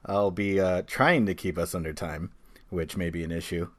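A man's voice: sits low, fairly even intonation, normal speed. A man hosting a casual podcast chat, talking with dry, teasing humour. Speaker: podcast host.

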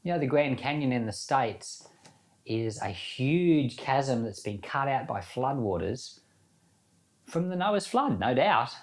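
A man's voice: mid-range voice, big pitch swings, takes it slowly. A man giving an informal sermon as a Bible teacher, reading scripture and commenting in a conversational way with rhetorical questions.

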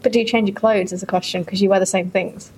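A young woman co-hosting a casual chat podcast, soft-spoken and gentle. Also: medium pitch, steady tone, fast talker, slightly rough, poor audio. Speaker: podcast co-host.

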